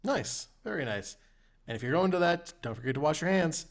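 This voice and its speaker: A man's voice: fairly high pitch, animated, a little fast. A man casually hosting a podcast in a conversational style. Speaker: podcast host.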